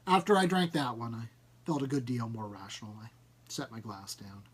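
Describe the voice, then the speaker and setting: A man narrating a first-person short story, reading in an expressive, measured way. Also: mid-range voice, slightly rough. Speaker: audiobook narrator.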